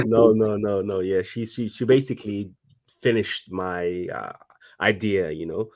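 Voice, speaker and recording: Animated man with a low voice. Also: unhurried. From Congo (DRC). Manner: animated, with frequent restarts. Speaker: guest, fashion designer. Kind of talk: casual conversation.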